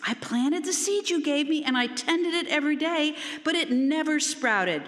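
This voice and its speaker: A woman's voice: high-pitched, expressive, moderate pace, fairly bright tone, voice pushed up. A woman storyteller voicing an honest, nervous young boy with an earnest, timid manner. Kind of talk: storytelling character voice. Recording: long hall reverb.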